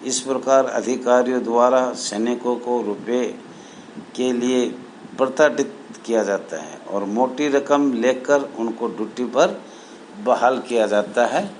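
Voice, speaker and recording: A man speaking as an association spokesman, reading out a formal numbered appeal in a halting, deliberate, earnest way. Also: mid-range voice, darkish tone, poor audio. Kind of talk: formal appeal, reading numbered points aloud with added commentary. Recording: noticeable background noise.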